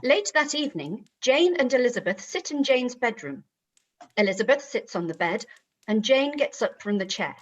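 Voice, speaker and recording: A woman's voice: a little high, sing-song, moderate pace. A woman narrating scripted stage directions for a radio play, on slightly dull audio with music behind.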